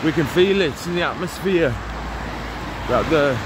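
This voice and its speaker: A man's voice: fairly high pitch, animated, a little slow. Earnest man preaching on a rainy street. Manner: earnest, urgent, repetitive preaching with asides. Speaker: street evangelist vlogger. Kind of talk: walk-and-talk preaching vlog. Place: outdoors on a town street in the rain. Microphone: phone held at arm's length. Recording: phone on a street with traffic noise. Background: passing traffic.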